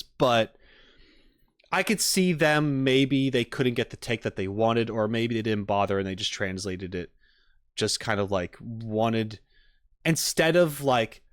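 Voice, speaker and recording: A man's voice: medium pitch, no echo. A man streaming casual reaction commentary, rambling expressively and thinking aloud into a close mic. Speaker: streamer reacting to game videos.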